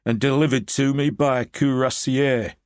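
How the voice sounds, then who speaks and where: A man's voice: mid-range voice, fairly even intonation, moderate pace, dry recording, clean studio sound, natural voice. A man reading an audiobook, voicing a dutiful male army officer who answers in clipped, laconic replies. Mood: terse.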